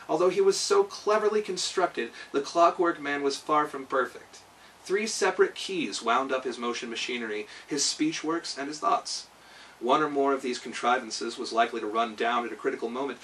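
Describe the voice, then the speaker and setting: Animated man reading a storybook aloud. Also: a little high, far from the mic, rough recording. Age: 30s. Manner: dramatic, emphatic. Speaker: storyteller and host. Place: small room indoors at home.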